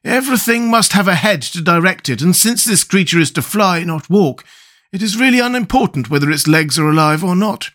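A man's voice: high-pitched, animated, fairly quick, fairly bright tone, pitched above the performer's own voice. A man voicing a cheerful, confident storybook scarecrow who reasons earnestly and explains things. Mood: confident reasoning.

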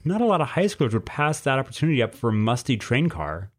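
A man's voice: medium pitch, very animated, fast, voice pushed up. A man voicing a nervous, sarcastic teenage male bird in a story reading, with a fretful manner. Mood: indignant. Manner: indignant, fretful.

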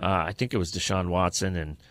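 A man with a lowish, bright and forceful voice talks sports as a podcast co-host. He is blunt and opinionated, speaking conversationally. The sound is dry and close. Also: steady tone, a little fast, dry recording.

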